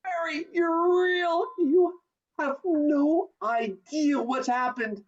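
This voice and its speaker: A woman's voice: sits high, steady tone, slow pace. A woman playing an emotional young family man in an amateur radio play, recorded over a video call. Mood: overjoyed. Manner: emotional, exclamatory. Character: George, desperate, then joyful young family man. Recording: muffled video-call audio.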